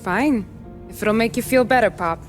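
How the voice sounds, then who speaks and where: A woman's voice: fairly high pitch, moderate pace, close to an everyday voice. An actress playing a tough, sardonic young woman who talks bluntly and bosses people around. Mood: annoyed. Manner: blunt, wry, bossy. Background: game score music.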